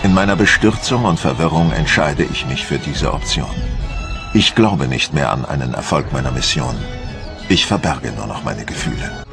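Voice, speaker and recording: An actor playing a troubled, despondent French admiral, recounting his failing campaign gravely in a documentary drama. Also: low voice. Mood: dejected. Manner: grave, brooding. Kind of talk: first-person documentary drama narration. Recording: loud background music under the voice. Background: music.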